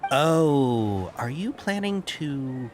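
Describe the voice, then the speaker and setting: An actor playing a fawning caravan merchant in a game, hesitant and full of filler sounds. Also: male voice, medium pitch, sing-song, very slow, background noise. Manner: hesitant, full of uhs, salesy. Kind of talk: game voice acting. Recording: game audio over faint music.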